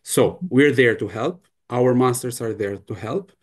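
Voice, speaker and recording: A man from the Balkans speaks English as a second language at a middle pitch. He is a university representative on a panel, earnest and persuasive. He leans on "you know" between his points. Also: a little slow. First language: not English; a Balkan language. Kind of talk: panel interview answer.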